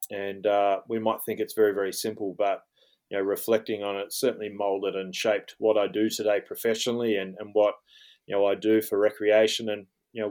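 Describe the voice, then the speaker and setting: A man's voice: fairly low pitch, darkish tone. A man around forty with an Australian accent, talking at an easy pace as an interview guest, filling his sentences with "um" and "you know". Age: 40s. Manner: rambling, lots of fillers like um and you know. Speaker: guest, fly fishing guide. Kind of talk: relaxed interview talk.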